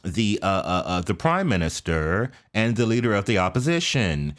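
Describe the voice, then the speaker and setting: Chatty American man with a low voice. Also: sing-song, moderate pace, fairly bright tone. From United States. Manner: chatty, lots of fillers and restarts, asks viewers for agreement. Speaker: reaction video host. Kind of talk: casual commentary to camera.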